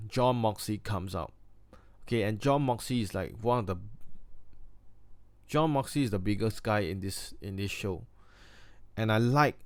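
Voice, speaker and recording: A man with a Singaporean accent talks in a loose, opinionated, sometimes crude way, stopping and restarting as he goes. His voice sits a little low and sounds smooth and clear. It is recorded close and dry, with almost no room sound. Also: darkish tone, no echo. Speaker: wrestling review vlogger. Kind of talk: casual spoken review.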